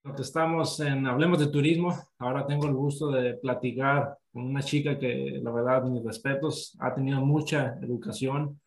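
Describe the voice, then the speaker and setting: A man introduces his guest politely, pausing on "este" as he gathers his thoughts. His voice sits at a middle pitch and carries the ring of an echoey room. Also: fairly even intonation. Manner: polite, hesitant, uses "este" fillers. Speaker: interview host. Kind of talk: hosting an interview.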